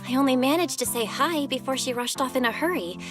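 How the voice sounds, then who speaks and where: A woman's voice: sits high, animated, fast, over music. An actress playing a thoughtful, level-headed young woman investigator in conversational video game dialogue.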